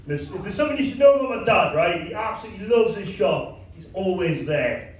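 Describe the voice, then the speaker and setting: A man doing a stand-up comedy set in a club, delivering crude deadpan punchlines with halting asides to the crowd. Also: high voice. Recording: echoey club miked from a distance. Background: audience laughter.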